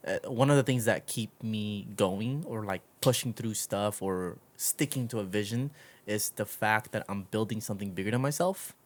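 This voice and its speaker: A man's voice: fairly low pitch, normal speed. A man hosting a podcast in a casual, conversational interview style, rambling and encouraging as he talks. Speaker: podcast host.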